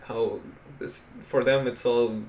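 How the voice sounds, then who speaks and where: A man talks as a podcast co-host in a roomy, echoing space with faint noise behind him. He explains things casually and bluntly, swearing freely, in a medium-pitched voice. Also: steady tone, takes it slowly, darkish tone. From Pakistan. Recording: echoey room with background noise.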